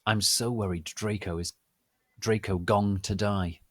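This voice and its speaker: A man's voice: on the low side, steady tone, a little slow, fairly bright tone, no echo. A man voicing a melodramatic, angsty teenage goth girl in a dramatic fanfiction reading, with overwrought exclamations. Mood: worried.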